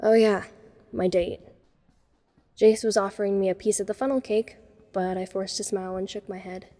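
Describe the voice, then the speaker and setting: A woman's voice: medium pitch, fairly even intonation, moderate pace, dark tone, natural voice. A woman playing a self-conscious, wryly observant nerdy teenage girl who narrates a first-person audio drama. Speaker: narrator and lead character. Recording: long reverb on the voice.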